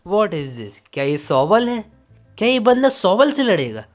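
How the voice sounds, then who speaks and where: A man's voice: high voice, very animated, measured pace, soft background music, pitched above the performer's own voice. A man voicing a gossiping, incredulous onlooker with a mocking manner in a manga story.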